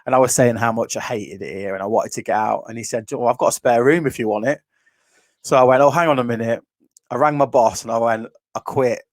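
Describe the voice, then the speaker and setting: A man's voice: mid-range voice, steady tone, quick pace, dry recording, clean studio sound. A man in his thirties, a podcast host, telling his story in casual chat, laughing easily. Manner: laughs easily, restarts, acts out quotes.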